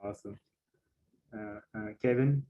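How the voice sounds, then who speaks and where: A man's voice: lowish voice, measured pace, highs cut off. A young man hosting a panel webinar, speaking steadily with frequent fillers over a video call. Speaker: webinar host and council president.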